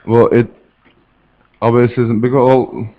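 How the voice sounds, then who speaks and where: A man's voice: medium pitch, little pitch movement, a little slow. A man with a Czech accent chatting casually as co-commentator on a live card game stream. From Czech Republic. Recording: dull, lo-fi stream audio.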